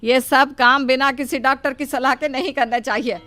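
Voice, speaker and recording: A woman's voice: high voice, steady tone, normal speed, bright, forceful tone. A woman voicing a worried, nagging mother-in-law who scolds and frets protectively in a cartoon moral story. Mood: scolding, concerned. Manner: scolding, exclaiming, fussing.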